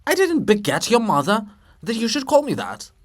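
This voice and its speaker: A man's voice: high voice, wildly swooping pitch, normal speed, voice pushed up, heavily acted. A man voicing an indignant, foul-mouthed old male statue of a poet in a dramatic play reading. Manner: bellowing, cursing, indignant.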